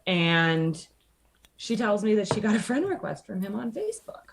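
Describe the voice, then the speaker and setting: A woman's voice: mid-range voice, sing-song, moderate pace, creaky, background noise. A woman telling a personal story casually as a podcast guest, candid, sardonic and sweary, acting out the dialogue she quotes.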